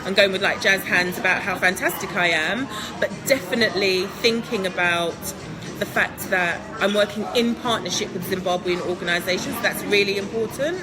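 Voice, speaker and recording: A woman's voice: mid-range voice, moderate pace, crowd noise, low-quality recording. A woman from England, answering interview questions in a chatty, upbeat way, laughing often and filling with ums. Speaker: guest entrepreneur.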